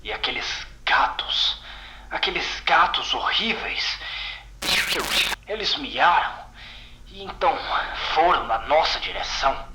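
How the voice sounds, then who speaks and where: A man's voice: fairly high pitch, expressive, slow, long pauses, echoey room, noisy background. A Brazilian man playing a shaken, bitter containment agent recounting a horrifying mission, intense and haunted, in an audio horror story.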